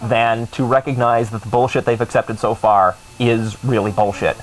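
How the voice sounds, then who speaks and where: A man's voice: mid-range voice, fairly even intonation, moderate pace, poor audio. A man co-hosting a call-in talk show, speaking casually in a sardonic, mocking way.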